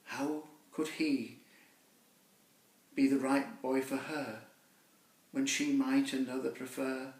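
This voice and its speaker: A man reading a rhymed children's story poem aloud with theatrical, deliberate phrasing. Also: medium pitch, level tone. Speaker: poet reading his own children's poem. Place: echoey room, away from the mic. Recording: roomy echo, distant microphone.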